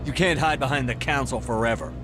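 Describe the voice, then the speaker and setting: An actor playing a resolute human military commander in a video game, speaking in a firm, blunt, controlled way. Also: male voice, mid-range voice, quick pace, natural voice. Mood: accusing. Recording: music behind the voice.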